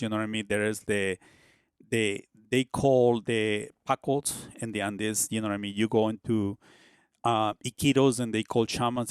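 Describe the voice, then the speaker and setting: A man's voice: fairly low pitch, a little mellow, clean tone, no room sound, clean studio sound. A man in his fifties from Peru with a Peruvian Spanish accent, a shaman guest in a casual podcast interview, rambling and often asking "you know what I mean?". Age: 50s.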